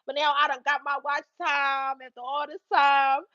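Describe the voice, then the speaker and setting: A young woman with a Philadelphia accent telling a story on her livestream, animated and swearing freely between laughs. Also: high voice, normal speed, no echo, muffled recording. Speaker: YouTube livestream host. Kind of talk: casual livestream storytelling to chat.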